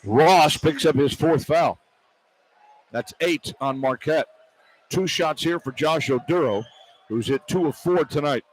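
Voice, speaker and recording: High-pitched excitable male basketball play-by-play announcer. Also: a little slow, poor audio. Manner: excitable, partisan, clipped running description of play. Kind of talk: live basketball radio play-by-play commentary. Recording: arena noise behind broadcast commentary. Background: arena crowd ambience.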